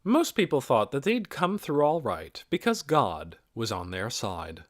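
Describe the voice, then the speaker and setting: A man reading a story aloud as an audiobook narrator, in an expressive, measured way. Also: mid-range voice, dry recording, clean studio sound. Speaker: audiobook reader.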